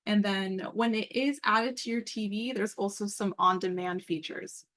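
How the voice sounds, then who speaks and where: Female meeting presenter, medium pitch, conversational and upbeat, explaining step by step on an informal online call. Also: fairly even intonation, moderate pace, a bit bright. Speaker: meeting presenter and program coordinator. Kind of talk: informal work call presentation with screen walkthrough.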